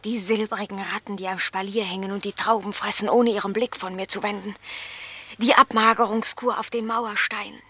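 An actress playing a proud, scornful, jealous cat in a melodramatic radio play, haughty and venomous. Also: female voice, medium pitch, steady tone, a little fast, bright, forceful tone, slightly rough, no room sound. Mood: brooding, longing.

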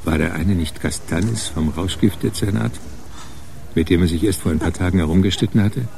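A man's voice: low-pitched, fairly quick, creaky, warm tone, slight background noise. A man narrating a radio crime drama from a script, as a sober storyteller.

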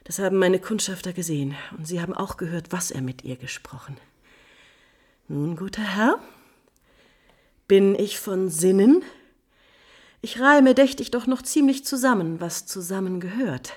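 A woman's voice: medium pitch, expressive, normal speed, long pauses, darkish tone, slightly rough. A woman playing a proud, scorned countess in 1950s German radio drama, bitterly mocking and agitated, moving between whispers and outcries. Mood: scornful triumph. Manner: theatrical, swinging from whispers to outcries.

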